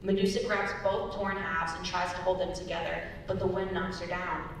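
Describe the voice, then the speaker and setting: Recorded from far off in a rumbly, very reverberant hall, a young woman narrates a script. Her voice is on the low side with clear creak, reading stage directions. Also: little pitch movement, normal speed. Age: young adult. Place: large echoey indoor performance space. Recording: very echoey hall, distant mic, rumbly noise.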